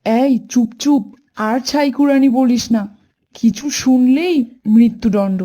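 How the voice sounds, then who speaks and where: A woman voicing a cruel, scheming stepmother in a cartoon story, scolding with a sharp tongue. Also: high-pitched, a little slow. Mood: hushed warning.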